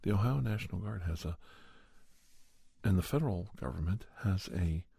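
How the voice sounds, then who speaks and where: A man playing a calm doctor who recounts a monster hunt as ASMR horror storytelling, in a measured, halting, deliberate way. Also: low voice, creaky, husky, no echo, studio quality, full character voice. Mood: measured, reflective.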